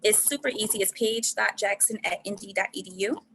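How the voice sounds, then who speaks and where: A woman's voice: mid-range voice, a little fast, gravelly, a bit bright, a little hiss or hum, poor audio. A young woman, a guest workshop presenter, wrapping up in an easygoing, chatty way. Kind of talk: casual closing remarks.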